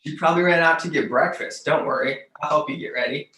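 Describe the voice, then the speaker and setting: Dependable big brother of twelve, played by a man, calm delivery, echoey room, drama table read. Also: a little high, quick pace. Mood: reassuring, questioning. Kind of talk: live script table read.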